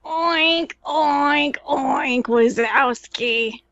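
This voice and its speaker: A woman's voice: high-pitched, drawn-out words, fairly bright tone, lo-fi sound, full character voice. A woman voicing a giggling little boy who oinks like a piggy in a comedic table read. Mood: giggly.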